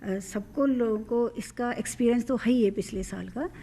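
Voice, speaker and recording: Female official, mellow tone, halting unscripted answer, English terms mixed in, faint background noise. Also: fairly high pitch. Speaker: health official giving a press comment.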